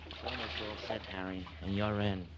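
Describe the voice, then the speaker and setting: An actor playing a furtive, disgruntled convict with a cagey manner in a 1940s radio crime drama. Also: male voice, on the low side, little pitch movement, fairly quick, highs cut off, lightly acted. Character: furtive, disgruntled male convict plotting a breakout. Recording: noisy old 1940s radio recording.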